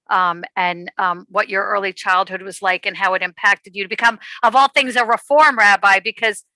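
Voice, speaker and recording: A woman rabbi informally hosting an online session on a video call, introducing a guest in a halting, conversational way. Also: medium pitch, sharp tone, dry recording, poor audio. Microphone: video call microphone.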